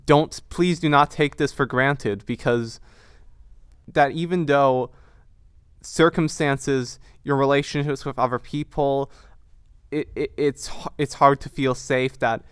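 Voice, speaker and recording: A man's voice: medium pitch, fairly even intonation, takes it slowly, smooth, no room sound, clean studio sound. A young man talking reflectively in an interview, earnest and searching for words, often repeating himself. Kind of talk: reflective interview talk about a production.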